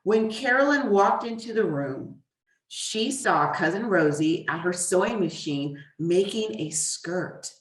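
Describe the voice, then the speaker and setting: A woman reading a children's storybook as guest author, in a deliberate, expressive way with pauses. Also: mid-range voice. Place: echoey room, away from the mic. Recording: roomy echo, distant mic.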